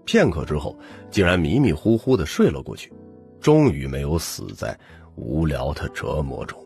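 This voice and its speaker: A man's voice: a bass voice, big pitch swings, moderate pace, dark tone, faint music behind. A man narrating a novel in the first person as a scripted audiobook.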